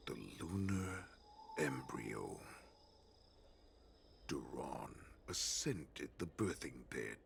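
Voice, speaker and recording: An ancient wolf-beast warlord for a fantasy game, extremely low and growling. He is proud and menacing, swooping theatrically through slow, taunting lines over faint ambient noise. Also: fairly bright tone, exaggerated voice. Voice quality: gravelly, growling. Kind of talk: dramatic video game voice acting.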